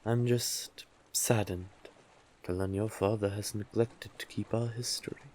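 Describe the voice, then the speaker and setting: A man's voice: fairly low pitch, fairly even intonation, unhurried, a little mellow, slight background noise, close to an everyday voice. A man voicing a monstrous but civil male sea witch in an intimate roleplay audio drama, soothing, teasing and courtly, lingering on endearments.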